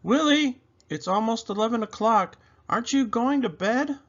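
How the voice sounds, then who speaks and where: A man voicing a kind, gentle mother in a children's storybook. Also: extremely high pitch, animated, normal speed, highs cut off, pitched above the performer's own voice. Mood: tender.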